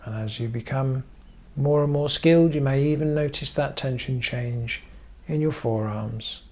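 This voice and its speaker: A man guiding a meditation as a therapist, giving gentle instruction in short, measured phrases with frequent pauses. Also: mid-range voice. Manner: measured, pausing often between short phrases. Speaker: therapist leading guided meditation.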